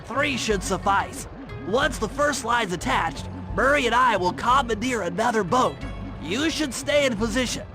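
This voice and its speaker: A man's voice: extremely high pitch, lively intonation, a little slow. A man voicing a brainy, earnest cartoon animal gadget expert in a video game, explaining things in precise technical terms. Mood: earnest, instructive. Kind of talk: video game cutscene voice acting. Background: background music.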